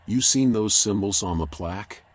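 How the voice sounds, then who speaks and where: An actor playing a world-weary raccoon detective in a noir video game, terse, dry and guarded. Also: male voice, fairly low pitch, moderate pace, natural voice. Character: male raccoon private eye, world-weary, sardonic, guarded.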